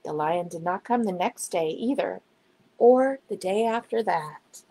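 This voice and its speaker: A woman reading a children's storybook aloud in an expressive, dramatic storytelling manner. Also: on the low side, unhurried. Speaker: children's book reader. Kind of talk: storybook narration, read aloud.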